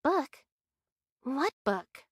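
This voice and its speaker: A woman voicing a brisk, teasing young female adventurer in an anime game, pert and sceptical. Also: high voice, very animated, dry recording, clean studio sound, full character voice.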